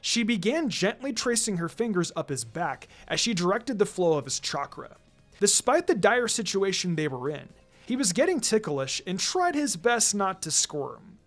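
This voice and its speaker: A man's voice: high voice, sing-song, moderate pace. A man reading a story aloud in a dramatic scripted narration style. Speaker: narrator reading fan fiction. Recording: music behind the voice.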